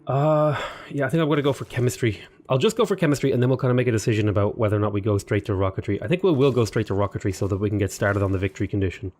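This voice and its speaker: A lowish-voiced man talks his way through a strategy game, thinking aloud and chatting to his units. He sounds a bit sniffly and off-color. Soft game music plays faintly beneath him. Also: racing, few pauses. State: sniffly, slightly unwell. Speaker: gaming YouTuber. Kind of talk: casual let's play commentary.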